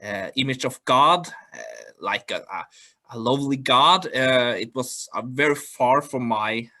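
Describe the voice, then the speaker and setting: Slow, bright-voiced Norwegian man, halting. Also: medium pitch. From Norway. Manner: halting, searches for words, many fillers. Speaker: guest. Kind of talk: casual video-call interview. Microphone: video call.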